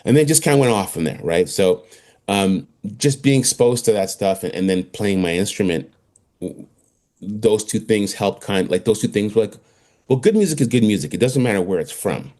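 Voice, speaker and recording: Deep-voiced man in his fifties, talking casually. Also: lively intonation, normal speed, darkish tone. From Trinidad, moved to Canada around age twelve. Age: 50s. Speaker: interview guest, drummer. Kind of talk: casual interview storytelling.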